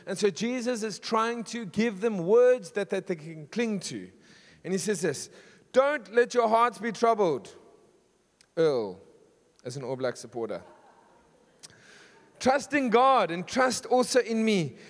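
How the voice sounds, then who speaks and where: Male pastor, husky and fairly high, casual asides, punchy emphatic runs; church reverb. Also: very animated, a little slow, pauses often. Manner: conversational, self-deprecating, builds to emphatic lists. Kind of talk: sermon. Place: church hall. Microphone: handheld stage mic.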